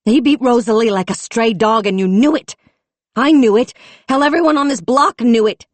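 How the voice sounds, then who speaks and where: A fierce young policewoman, off duty and raw with anger, her voice climbing high and loud as she rails and shouts, voiced by a woman narrating an audiobook. The reading is close and dry, with no room sound. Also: animated, moderate pace, no room sound, close to an everyday voice. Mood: furious shouting. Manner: shouting, furious, tearful.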